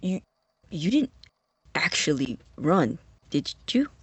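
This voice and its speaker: A husky, breathy young male student scientist in a closely recorded, dry audio drama. He is nervous, obsessive and awkwardly eager, halting and stammering through his sentences. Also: fairly high pitch, very animated, dry recording, full character voice. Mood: defensive, flustered. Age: young adult. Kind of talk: naturalistic audio drama acting.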